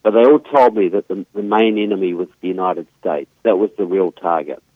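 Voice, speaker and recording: A man's voice: sits low, fairly quick, no room sound. A man with a New Zealand accent, a guest author explaining his background steadily over a phone line, with some fillers. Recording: tinny phone line, dry.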